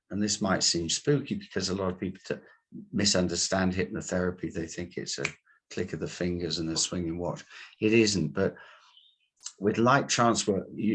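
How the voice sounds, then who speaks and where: A man, a coach being interviewed, explaining his work in deliberate, halting phrases. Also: low-pitched, slightly rough. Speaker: guest, coach and hypnotherapist.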